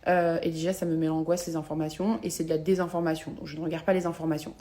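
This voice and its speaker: A woman's voice: sits low, level tone, fast talker, dark tone. A young adult woman, talking casually and confessionally to her followers in slangy, emphatic speech, repeating words for stress. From Algerian family. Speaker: social media vlogger.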